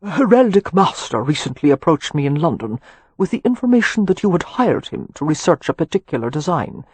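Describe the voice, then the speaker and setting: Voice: medium pitch, big pitch swings, fairly quick, a little mellow, husky, no room sound. A man voicing a shrewd, proud old earl with an Anglo-Irish accent and a dry, graceful delivery in an audiobook. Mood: cool, imperious. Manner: aristocratic, graceful, dry, commanding. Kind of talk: audiobook character dialogue.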